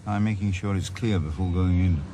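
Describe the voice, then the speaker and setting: A man's voice: low voice, brisk, background noise, lightly acted. A middle-aged man with a Welsh accent, playing a stubborn, sardonic retired detective with a dry, probing manner in a radio drama.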